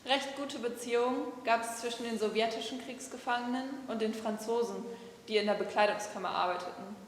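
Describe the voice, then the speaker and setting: A young woman voicing a young male prisoner-of-war clerk in a plain, steady testimony reading. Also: a little high, brisk, slight background noise, close to an everyday voice. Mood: sober. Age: young adult. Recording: very echoey hall recording.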